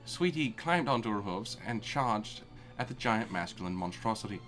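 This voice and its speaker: Casting: male comedic story reader, mid pitch, animated intonation, irreverent, loose live feel, music underneath. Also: normal speed. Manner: irreverent, breaks into swearing and amused asides. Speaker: reader of fan fiction.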